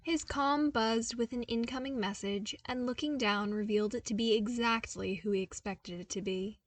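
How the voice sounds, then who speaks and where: A woman's voice: on the high side, moderate pace, no room sound, far from the mic. A woman reading scripted fan fiction as an audiobook narrator. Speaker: podfic narrator.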